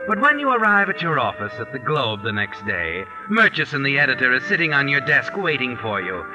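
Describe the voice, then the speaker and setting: A man's voice: medium pitch, wildly swooping pitch, a little fast, low-quality recording. A man playing a knowing, sardonic mystery storyteller, narrating dramatically in 1940s radio style. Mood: knowing, ominous. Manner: sardonic, dramatic second-person telling. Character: knowing, ominous male mystery storyteller taunting the culprit. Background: music.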